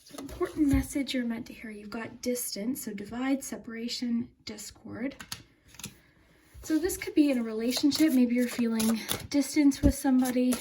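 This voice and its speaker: A woman hosting a casual, unscripted tarot reading, speaking gently and calmly and often trailing off quietly. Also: on the high side, normal speed, background noise. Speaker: tarot reader and channel host.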